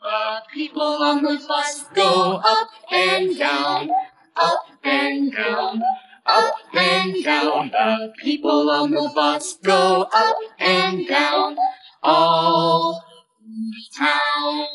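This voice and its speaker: A woman's voice: high voice, animated, extremely slow, creaky, distant mic. A woman singing a children's nursery song in a simple sing-along way, with the words repeated over and over. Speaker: singer. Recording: voice set back from the mic over loud backing music.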